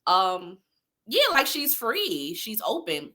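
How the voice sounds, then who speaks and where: A woman's voice: medium pitch, sing-song, slow pace, sharp tone. A woman in her thirties co-hosting a podcast, chatting candidly with frequent laughter and self-affirming talk. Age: 30s. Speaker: podcast co-host. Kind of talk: casual chat.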